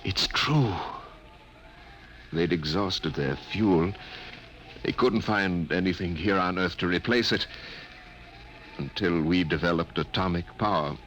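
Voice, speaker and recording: An actor playing a shaken, earnest scientist speaking haltingly in a 1950s radio drama. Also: male voice, low voice, fairly bright tone. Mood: insistent. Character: shaken male scientist, insistent survivor of an ordeal. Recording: slightly noisy old radio recording.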